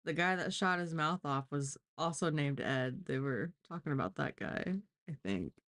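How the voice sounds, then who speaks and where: A woman's voice: sits low, lively intonation, moderate pace, fairly bright tone, no room sound, clean studio sound. A woman hosting a live stream, chatting informally and giving opinionated true crime commentary.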